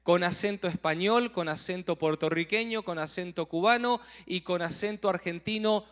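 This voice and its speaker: A man's voice: high voice, moderate pace, a bit bright, clear, resonant tone. A man hosting a live online broadcast in Spanish, speaking emphatically and promotionally. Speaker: event host.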